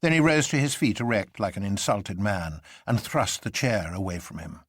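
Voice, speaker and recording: A man's voice: on the low side, animated, normal speed, a bit bright, slightly rough, no echo, studio quality. A man reading a story as narrator, in a dramatic scripted style. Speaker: narrator, audiobook reader.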